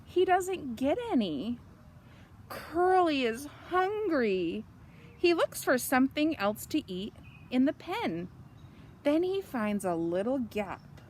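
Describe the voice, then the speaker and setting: Slow, high-voiced woman reading to children. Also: a little hiss or hum. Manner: expressive, simple explaining to young children. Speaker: children's story reader. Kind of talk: animated read-aloud of a children's picture book.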